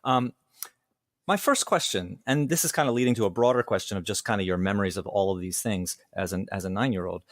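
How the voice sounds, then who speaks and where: Low, dry-sounding American host asking questions. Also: male voice, fairly quick, dry recording. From United States. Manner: curious, thinks aloud while framing questions. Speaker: podcast host. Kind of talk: conversational podcast interview.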